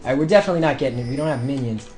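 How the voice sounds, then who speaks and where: A young man commentating his own online match, shouting through the fights and calm in between, his voice going hoarse. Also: medium pitch, very fast, faint music behind. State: losing his voice. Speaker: gameplay commentator. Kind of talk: excited gameplay commentary.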